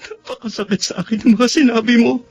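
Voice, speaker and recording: A man's voice: very high-pitched, steady tone, quick pace, darkish tone. An actor playing an anguished young man secretly in love with his male friend, in melodramatic radio drama style. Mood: anguished, tearful. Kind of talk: Tagalog radio drama acting.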